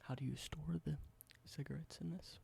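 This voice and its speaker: A man doing a whispered ASMR roleplay, explaining things slowly and correcting himself now and then. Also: on the high side, fairly even intonation, creaky, very noisy. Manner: soft whisper, slow explanations with small self-corrections. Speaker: ASMR creator.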